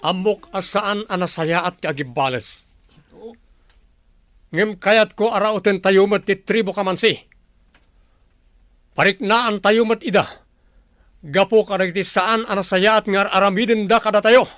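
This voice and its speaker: Determined, higher-voiced young son. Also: male voice, a little slow, pauses often, fairly bright tone, no room sound, studio quality. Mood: rousing. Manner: earnest, resolute. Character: determined young son leading villagers to revenge. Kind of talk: radio drama acting.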